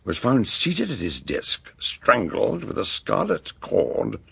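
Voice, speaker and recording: An actor playing an eccentric, wordy older British detective doctor in a radio drama, genial and courteous, with a gravelly, husky voice. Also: male voice, on the low side, huge pitch swings, a bit bright, no room sound, full character voice. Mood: grave, deliberate. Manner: wordy, deliberate, old-fashioned courtesy.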